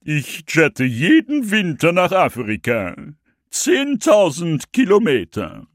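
A pompous, clattering stork. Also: male voice, on the high side, huge pitch swings, slow, dry recording, studio quality, pitched above the performer's own voice, exaggerated voice. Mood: boastful. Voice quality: clattering, repeats words. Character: arrogant stuttering male stork, pompous.

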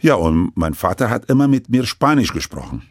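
An older man who grew up speaking French in Lebanon, recounting his life story in halting, broken sentences full of restarts. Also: on the low side, very animated, no room sound, clean studio sound. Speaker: interviewee.

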